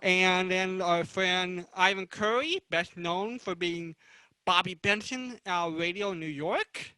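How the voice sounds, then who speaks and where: Male panel moderator, high and bright, conversational, halting delivery. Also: smooth, dry recording. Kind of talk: unscripted panel introductions.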